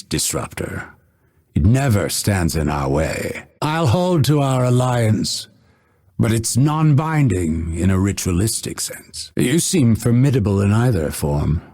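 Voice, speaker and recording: Game assassin: low, expressive delivery, aloof and scholarly, haughty formal phrasing, husky edge. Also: male voice, heavily acted. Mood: haughty, measured. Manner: measured, formal, haughty, dryly witty. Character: proud, scholarly male assassin, aloof and coolly menacing. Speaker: voice actor. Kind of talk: video game character voice lines.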